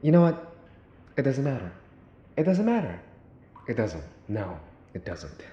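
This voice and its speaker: A man's voice: medium pitch, sing-song, warm tone, highs cut off. A man playing a mafia boss's son in an ASMR roleplay, possessive and menacing, with theatrical sarcasm and mocking politeness. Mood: incredulous, exasperated. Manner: theatrical, sarcastic, deliberate repetition, sighing. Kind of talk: ASMR boyfriend roleplay acting. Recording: roomy echo, faint background noise.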